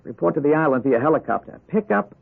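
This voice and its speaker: An actor playing a loyal, eager, flustered aide in an old-time radio drama, halting, slangy and earnest. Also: male voice, a little high, expressive, vocal fry, husky, exaggerated voice. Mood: uneasy, urgent. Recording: tinny, noisy old radio recording.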